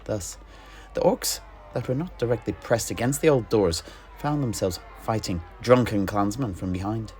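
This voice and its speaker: A man narrating a fantasy battle tale in character, theatrically and with deliberate, dramatic pauses. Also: lowish voice, darkish tone. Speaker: storyteller guest in an in-character fantasy chat.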